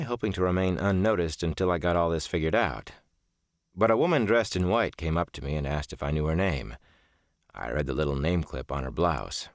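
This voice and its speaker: Low-voiced man narrating an audiobook. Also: no echo, clean studio sound. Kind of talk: scripted first-person literary audiobook narration.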